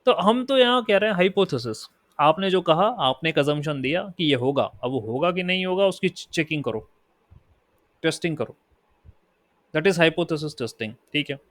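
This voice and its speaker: A man with an Indian accent teaching a statistics lesson. He explains in Hindi and reads definitions out slowly in English. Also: on the high side, lively intonation, a bit bright, no room sound. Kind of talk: lecture.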